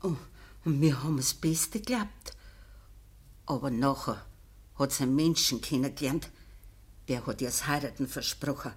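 A woman playing a dying, middle-aged peasant mother in a radio play, humble and sorrowful, speaking halting Bavarian dialect in a weak voice. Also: very deep voice. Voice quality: husky, weak. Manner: halting, deferential.

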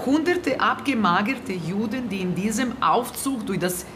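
An impatient, animated woman survivor. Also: mid-range voice, unhurried. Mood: bitter. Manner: insistent, aggrieved. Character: desperate, impatient female camp survivor waiting in a queue. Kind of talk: German radio play acting. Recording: studio radio play with clear background noise.

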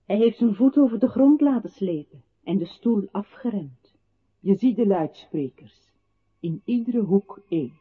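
A man's voice: high-pitched, animated, a little slow, slightly rough, full character voice. An actor playing an accusing voice that solemnly tells a captive his own life in a poetic radio play. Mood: menacing, solemn. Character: accusing male voice narrating a captive's life to him. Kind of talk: radio play, poetic second-person narration. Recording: tinny, narrow old radio recording with hiss.

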